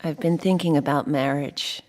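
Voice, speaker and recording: An actress playing a shy, earnest, devout singer in an intimate audio drama, speaking in a hesitant, soft-spoken, sincere way. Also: female voice, deep voice, steady tone, moderate pace, lightly acted. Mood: earnest, unsure. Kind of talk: intimate two-person audio drama acting.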